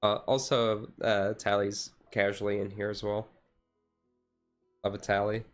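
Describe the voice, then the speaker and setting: A man streamer chatting casually in a relaxed, halting, rambling way, thinking aloud as he goes. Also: lowish voice. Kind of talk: relaxed casual chat about a card game, ASMR-style stream. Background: music playing softly behind the voice.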